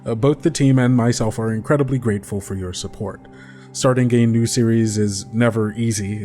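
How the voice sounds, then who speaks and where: A man's voice: medium pitch, darkish tone. A man hosting an actual play podcast, giving an upbeat promotional read that appeals directly to listeners. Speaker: podcast host.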